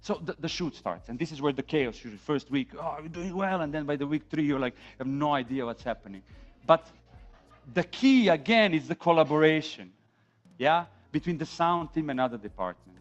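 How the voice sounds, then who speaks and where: A middle-aged man with a Serbian accent lecturing a class on film sound, animated, acting out quotes from set, and checking in with "yeah?". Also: a little high, a little fast, muffled recording.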